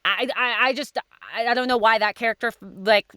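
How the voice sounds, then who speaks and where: A woman's voice: a little high, fairly even intonation, brisk, bright, forceful tone, clear, resonant tone, no echo. A woman hosting a casual panel chat in an effusive, informal way. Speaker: host of a cast discussion.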